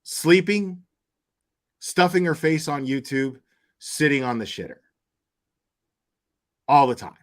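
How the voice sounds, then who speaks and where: A man's voice: on the high side, expressive, slow, long pauses. A man giving casual online opinion commentary in a rambling, blunt and crude way, swearing freely. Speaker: YouTube commentator.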